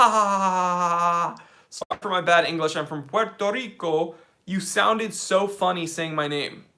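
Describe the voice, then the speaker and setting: A man hosting a casual live-stream Q&A, reading chat questions aloud and answering in a relaxed, slangy way. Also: fairly high pitch. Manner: laid-back, slangy, reads chat aloud.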